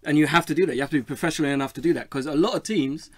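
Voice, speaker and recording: A man's voice: on the high side, rapid-fire, no room sound. A man debating football as a panelist on a fan show. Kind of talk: sports debate.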